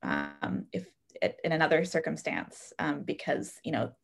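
A woman with an American accent and a slightly low voice, speaking on a video call from home. She shares in a gentle, reflective and expressive way, as in a conversational group check-in. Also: moderate pace, gravelly, slightly rough. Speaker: participant in an online women's group. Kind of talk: personal check-in sharing, conversational. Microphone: computer mic on video call.

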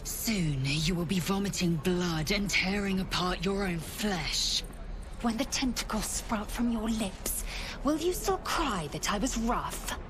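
A woman's voice: mid-range voice, animated, unhurried, lightly acted. Actress as a haughty alien warrior woman, noisy backdrop. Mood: scornful. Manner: clipped, imperious, formal phrasing. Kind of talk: video game voice acting.

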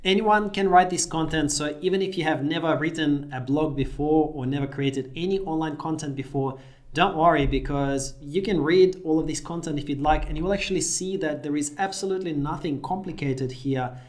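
Man, 30s, from Russia, native Russian speaker, upbeat instructional tone, fairly high voice, small room. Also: fairly quick. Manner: upbeat, direct instructional talk. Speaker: affiliate marketing tutor. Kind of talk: screen-share tutorial.

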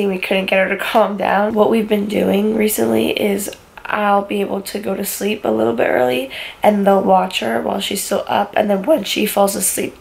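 A young woman with a raspy voice chatting in a sleepy, low-key way for her home vlog, filmed on a phone at arm's length in her bedroom. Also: low-quality recording. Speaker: vlogger. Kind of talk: casual vlog chat. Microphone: phone camera held at arm's length.

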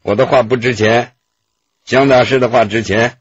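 An actor playing a nervous, cornered gallery owner in a radio drama, stammering and hesitant. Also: male voice, medium pitch, fairly even intonation, normal speed, breathy edge, no echo, muffled recording, lightly acted. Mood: sheepish, confessing. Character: nervous, cornered male gallery owner who forges paintings. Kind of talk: Chinese radio drama acting.

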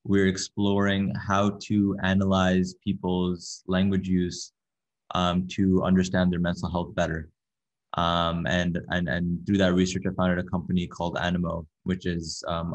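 A young man from Canada giving an online workshop lecture in a soft-spoken, hesitant way, with many fillers and repeated words, over a laptop webcam. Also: sits low, monotone, normal speed. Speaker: workshop presenter. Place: small room with a window, indoors. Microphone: laptop webcam on a video call. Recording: dull lo-fi video call audio.

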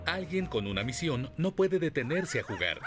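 A man with a Latin American Spanish accent, narrating a children's story in an expressive, scripted storytelling style. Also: a little high, moderate pace. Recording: music under the voice.

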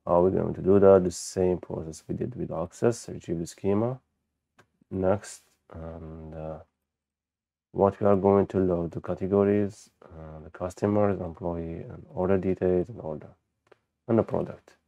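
A man who speaks as a gives an unscripted software walkthrough, haltingly thinking aloud as he demonstrates. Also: low voice, fairly even intonation, creaky, dry recording. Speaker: software tutorial presenter. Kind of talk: unscripted screen-recorded tutorial walkthrough. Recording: dull, lo-fi close microphone.

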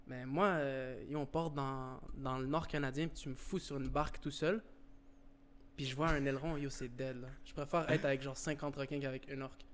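A man's voice: medium pitch, steady tone, moderate pace, slight background noise. A man in his twenties hosting a casual podcast chat on a couch set, speaking into a handheld mic. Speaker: podcast host.

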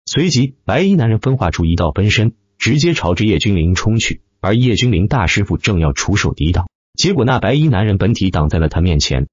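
Male audiobook narrator, animated dramatic delivery, lowish voice, close dry sound with dulled top end. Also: fairly quick, husky, dry recording, highs cut off. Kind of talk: scripted dramatic narration of fantasy web novel.

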